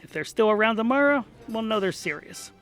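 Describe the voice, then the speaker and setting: A man's voice: high voice, animated, brisk, a bit bright, voice pushed up. A man playing a cagey, grumbling fantasy adventurer in a comic audio story. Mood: sly.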